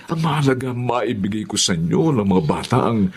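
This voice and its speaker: Middle-aged Filipino father, devoted, emotional, lively intonation, radio drama. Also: mid-range voice, moderate pace, slightly rough. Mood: tender, reassuring. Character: devoted, tearful, hardworking father and husband.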